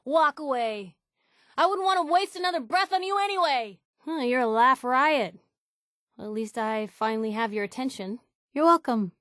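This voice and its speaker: An actress playing a blunt, caring young woman reporter in a video game, speaking in a casual, wry and emotionally expressive way. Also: high-pitched, moderate pace, dry recording, clean studio sound. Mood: warm, wry. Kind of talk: video game character dialogue.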